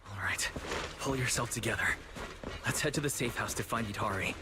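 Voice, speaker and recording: A man's voice: lowish voice, fairly quick, heavy background noise, natural voice. A man playing an earnest, easygoing young leader in a video game, speaking in a sincere, natural way. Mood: resolute. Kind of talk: scripted game voice acting.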